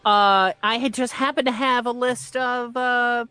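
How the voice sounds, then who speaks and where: A man's voice: very high voice, fairly even intonation, measured pace, bright, forceful tone, clean tone, no room sound, soft background music. A man co-hosting a morning radio show, playful and hammy, egging his partner on. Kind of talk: bantering morning radio chat.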